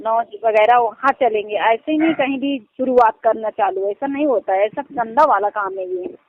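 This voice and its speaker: A woman with a high-pitched voice speaks in a casual, insistent way on a phone call. She keeps checking that she is being understood. The recording is muffled and lo-fi. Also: moderate pace. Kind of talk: casual private phone conversation.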